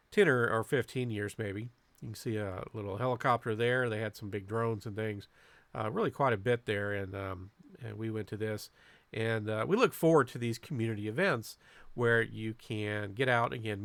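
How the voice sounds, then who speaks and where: A man giving a casual, unscripted club video narration as presenter, in a halting way with frequent pauses and repeated words. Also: on the low side, a bit bright, no room sound. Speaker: amateur radio club presenter.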